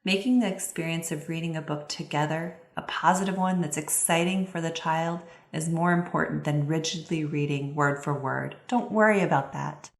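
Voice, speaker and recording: A woman with a fairly low voice teaches in a clear, explanatory manner. She speaks expressively and with emphasis, as an instructor advising parents. The recording is roomy and echoey. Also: moderate pace, hardly any pauses. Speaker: instructor, literacy expert giving advice to parents. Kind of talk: instructional talk with expressive read-aloud examples.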